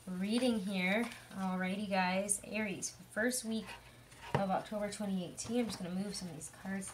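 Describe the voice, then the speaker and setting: A young woman with a hoarse voice giving a chatty, rambling tarot reading, full of fillers. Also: mid-range voice, noisy background. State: hoarse from illness. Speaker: tarot reader. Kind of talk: casual tarot reading monologue.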